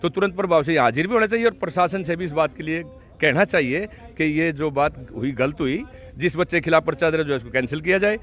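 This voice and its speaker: A man addresses a protest crowd and then a reporter with earnest, formal appeals. His voice is high for a man. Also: quick pace, fairly bright tone. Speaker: protest speaker. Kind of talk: public address and interview.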